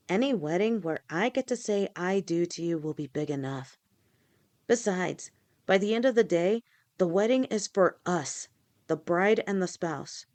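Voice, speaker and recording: A woman voicing a loving, protective lamia fiancée in an audio roleplay, speaking gently and reassuringly. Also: on the low side. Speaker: voice actor.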